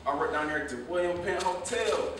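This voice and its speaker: A man's voice: high-pitched, a little fast, lightly acted. Man acting cajoling broke American worker, echoey, noisy. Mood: wheedling. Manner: street-casual, wheedling. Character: broke, cajoling male hotel worker thrown out by his woman. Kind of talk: staged play reading, colloquial dialogue. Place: indoor theater stage, recorded from afar. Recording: echoey stage, distant mic, noisy.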